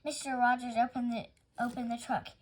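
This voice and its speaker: A woman's voice: on the high side, level tone, brisk. A woman reading a children's picture book aloud as a storytime host.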